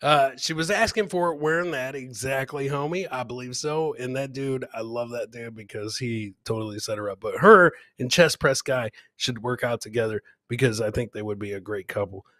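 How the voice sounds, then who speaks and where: A man's voice: medium pitch, normal speed, few pauses, pushed and bright, no echo. A middle-aged man chatting and joking as he hosts live reactions to viral clips, often repeating his phrases. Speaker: comedy host.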